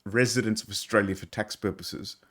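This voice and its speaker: A man with a croaky voice, opening a casual chat as co-host and setting up the topic. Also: fairly low pitch, steady tone, brisk, fairly bright tone. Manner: brief agreeing replies, sets up the topic.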